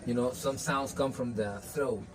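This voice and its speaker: A man's voice: mid-range voice, unhurried, a crowd in the background. A man whose first language is Spanish, answering interview questions in an earnest, casual way, with many restarts and "you know".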